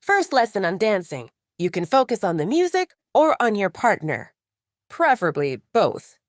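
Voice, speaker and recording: A woman voicing a charming, caring young male werewolf bartender in an audiobook, patient and gently teasing. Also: mid-range voice, big pitch swings, normal speed, no room sound, studio quality, close to an everyday voice. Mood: earnest, instructive. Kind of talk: audiobook character dialogue.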